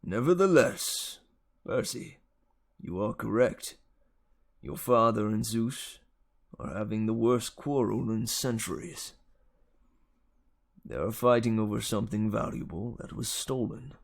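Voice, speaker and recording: Man as an old centaur mentor, weary, solemn, stern, slow and gravelly, storybook reading. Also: fairly low pitch. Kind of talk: dramatic children's audiobook reading.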